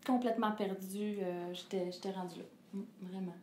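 A middle-aged Québécois woman talks as a seated interview guest, earnest and reflective, often pausing to find her words. Her voice sits at an ordinary pitch for a woman. The living room around her is echoey, with a faint hiss underneath. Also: steady tone, darkish tone. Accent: Québécois French. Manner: earnest and reflective, with frequent hesitations and laughter. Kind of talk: candid personal conversation. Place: living room with a fireplace, indoors.

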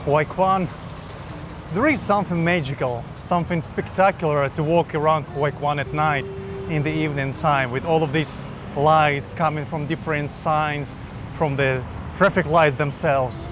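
A man's voice: fairly high pitch, steady tone, normal speed. Male vlogger, upbeat walking-tour narration, recorded outside with street noise. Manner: chatty, enthusiastic, speaks to viewers directly. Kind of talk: casual travel vlog narration. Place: outdoors on busy city streets. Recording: clear street noise behind. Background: traffic and street noise.

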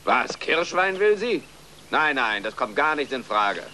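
A man playing a stingy farmer and father in a German-dubbed children's film, gruff and clipped. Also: medium pitch, very animated, unhurried, sharp tone, slight background noise. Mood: indignant, stingy.

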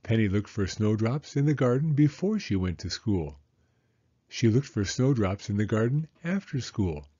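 A man reading a children's storybook aloud in a deliberate, simply phrased narration. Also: fairly low pitch, big pitch swings, warm tone, dry recording, lo-fi sound. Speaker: storybook reader.

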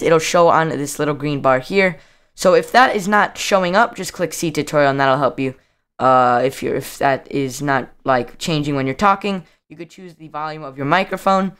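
A young man narrating a casual software tutorial as the host of a video. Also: fairly high pitch, smooth.

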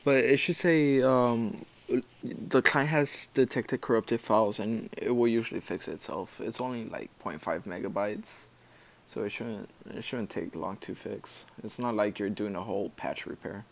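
A man giving a casual screen-recorded software tutorial, explaining step by step in an informal, halting way. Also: fairly low pitch, gravelly. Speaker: tutorial maker.

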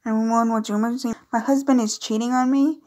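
A woman's voice: fairly high pitch, fairly even intonation, fast, mellow. A woman doing casual, playful gameplay commentary, reading on-screen dialogue aloud with chatty, amused asides. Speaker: gaming video creator.

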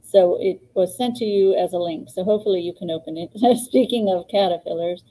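Chatty woman co-host with a mellow voice. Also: fairly low pitch, fairly quick, rough recording. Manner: chatty, laughs often, many ums. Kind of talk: live online plant advice show. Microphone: webcam on a video call.

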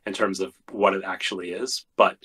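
A man, a software engineer guest, explaining technical details thoughtfully with many fillers, his voice getting tired and worn. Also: sits low, steady tone, normal speed, breathy edge. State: losing his voice. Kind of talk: technical interview talk.

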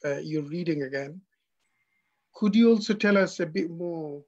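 Man, Bangladeshi English accent, halting host, lots of fillers, muffled lo-fi line. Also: fairly high pitch. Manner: halting, many fillers and restarts, steady murmured backchannels. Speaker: interview host. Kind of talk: live online interview. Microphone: video call mic. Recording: dull lo-fi call audio.

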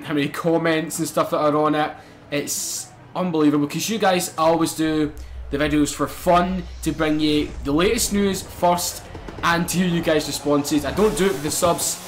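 A young man commentating a racing game let's play, excitable and swearing, bursting into loud exclamations. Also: on the high side. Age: young adult. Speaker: gaming channel host.

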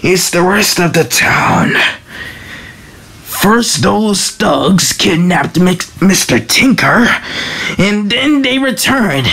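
A woman's voice: mid-range voice, expressive, takes it slowly, creaky, a bit bright, husky, heavily acted. A woman voicing a frightened elderly male village elder, pleading and shaky, in a fan comic dub.

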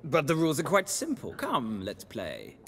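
An actor playing a pompous, excitable male scholar in a video game, speaking in a flowery, theatrical way. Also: on the high side, moderate pace, a little hiss or hum, exaggerated voice. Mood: eager. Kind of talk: video game cutscene acting.

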